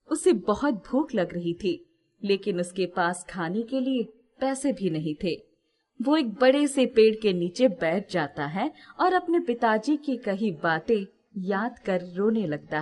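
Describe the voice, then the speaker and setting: A woman's voice: mid-range voice, expressive, takes it slowly, darkish tone. A woman narrating a scripted moral story as its storyteller.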